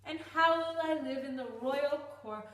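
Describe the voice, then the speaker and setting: A woman's voice: high voice, normal speed, a bit bright. A woman playing a young romantic wife in an earnest, declamatory Shakespearean-style stage soliloquy. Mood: troubled, pensive. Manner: declamatory, earnest, archaic phrasing. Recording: roomy, distant microphone.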